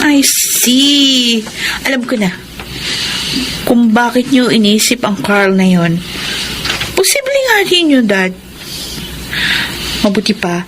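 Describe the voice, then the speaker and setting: A woman's voice: a little high, rough recording. A woman playing a caring, inquisitive young daughter in a Filipino radio drama. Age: young adult.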